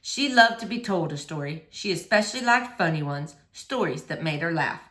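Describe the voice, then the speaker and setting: Lady doing a sad quiet preacher dad from a kids book, lowered voice, slow n subdued, bit echoey. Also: animated. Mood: fond, reminiscing. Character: quiet, melancholy middle-aged father, a preacher. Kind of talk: children's novel read aloud.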